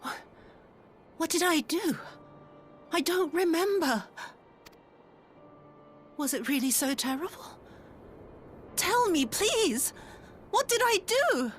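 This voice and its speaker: A frightened young woman in a game drama. Her very high voice swoops widely as she pleads, lost and plaintive, drawing out her words slowly over a faint rumble. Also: big pitch swings, exaggerated voice. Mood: distraught pleading. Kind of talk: dramatic video game voice acting.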